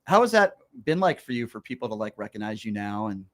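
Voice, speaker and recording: A man's voice: on the low side, fast talker. A man hosting a political talk show, chatting casually and emphatically with frequent fillers. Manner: emphatic, animated, repeats fillers.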